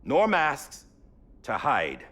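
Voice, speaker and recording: Slow, stately male president. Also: medium pitch, huge pitch swings, bright, forceful tone, exaggerated voice. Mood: solemn, resolute. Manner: measured, stately, oratorical. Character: solemn, resolute male president addressing his new nation. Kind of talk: formal inaugural speech in video game.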